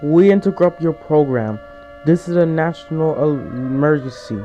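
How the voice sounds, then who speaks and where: A man's voice: on the high side, moderate pace, dark tone, faint music behind, natural voice. A man playing a solemn emergency alert announcer, giving formal, stilted, repeated warnings in an amateur mock broadcast. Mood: urgent, formal. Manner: formal, repetitive, stilted delivery.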